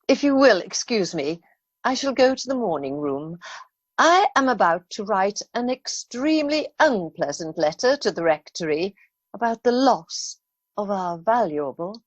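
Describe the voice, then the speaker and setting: A woman's voice: mid-range voice, big pitch swings, unhurried, no room sound. A woman playing a starchy upper-class lady of the house in an amateur radio play, formal and coolly polite. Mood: icily polite. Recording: dull online call recording.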